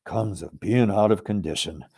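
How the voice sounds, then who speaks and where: A man voicing a huge, polite, bumbling male giant with a gruff, rustic way of speaking. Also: lowish voice, moderate pace, a little mellow. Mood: puffing.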